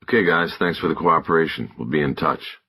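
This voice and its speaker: A man's voice: rumbling low, brisk, bright, forceful tone, breathy edge, dry recording, pitched above the performer's own voice, heavily acted. A man voicing a seasoned, plain-spoken police detective, a firm and encouraging mentor, in audiobook dialogue. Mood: firm, reassuring.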